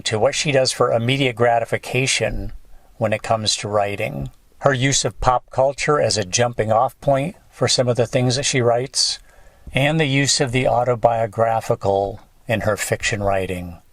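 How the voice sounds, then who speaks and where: Podcast host guy, mid-pitched, friendly and chatty. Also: a bit bright. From upstate New York. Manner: friendly, chatty, lightly joking. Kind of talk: podcast interview hosting.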